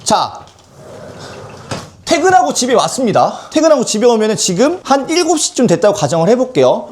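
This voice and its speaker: A man giving a step-by-step software tutorial as an instructor, often asking questions and answering them himself. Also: high voice, husky. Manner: asks and answers own questions, acts out example thoughts. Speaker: online-selling instructor and software founder. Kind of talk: live product tutorial, explaining step by step.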